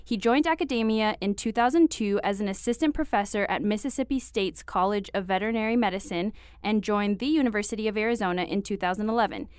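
Smooth-voiced woman podcast co-host. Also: mid-range voice, fast talker, few pauses, no room sound, studio quality. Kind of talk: reading a guest bio, then interviewing.

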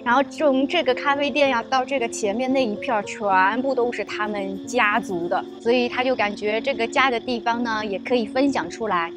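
Chatty upbeat woman vlogger, higher voice. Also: fairly quick, hardly any pauses. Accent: Shanghai Mandarin. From Shanghai, China. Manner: chatty and upbeat, talks straight to the viewer. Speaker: travel vlogger. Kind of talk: casual vlog narration. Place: garden and wooden café, mostly outdoors. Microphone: small clip-on mic on the shirt. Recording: soft music underneath.

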